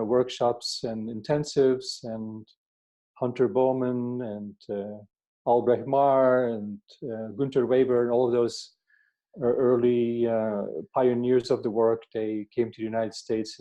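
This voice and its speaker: Older Dutch-accented man, even and mellow. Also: mid-range voice, poor audio. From Netherlands. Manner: halting, repeats words as he explains. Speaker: workshop facilitator, therapist being interviewed. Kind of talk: reflective interview talk.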